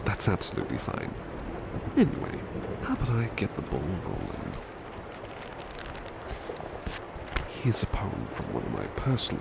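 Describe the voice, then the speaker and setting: A man playing a formal, competitive butler who softly reads poetry in an ASMR bedtime roleplay. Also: low-pitched, vocal fry, heavy background noise, heavily acted. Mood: polite, slightly flustered. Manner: formal, slightly prickly, dramatic verse delivery. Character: male butler servant, formal, competitive, touchy. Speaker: servant reading poetry at bedtime. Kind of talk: soft-spoken ASMR roleplay, poetry reading.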